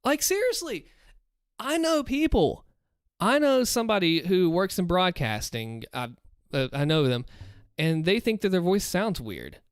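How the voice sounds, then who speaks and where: A man's voice: high-pitched, sing-song, unhurried, clean tone, dry recording, clean studio sound. A man with a Southern US accent, chatting casually on a livestream, thinking aloud and encouraging viewers. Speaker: streamer. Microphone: close desk mic with headphones.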